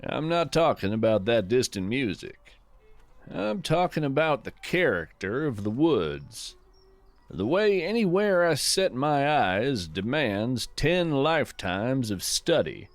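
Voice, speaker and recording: A man playing a gentle, folksy naturalist who hosts a scripted fiction podcast, musing poetically and with wonder about the woods. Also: mid-range voice, very animated, dry recording, faint music behind. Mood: reverent wonder. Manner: reflective, poetic, unhurried musing. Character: gentle, wonder-struck folksy naturalist narrating a woodland walk. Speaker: podcast host.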